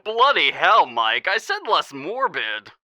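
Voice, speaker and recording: A sardonic co-host who taunts with playful, cruel glee. The voice is bright and very animated, recorded close and dry. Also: medium pitch, slow pace, no room sound, exaggerated voice. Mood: playfully sardonic. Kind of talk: radio drama acting in presenter banter.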